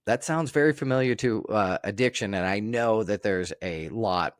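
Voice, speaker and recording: A male podcast host reads through his points in a measured, list-like way. His voice sits low for a man. The sound is dry and close, with no room echo. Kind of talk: reading points aloud in an interview.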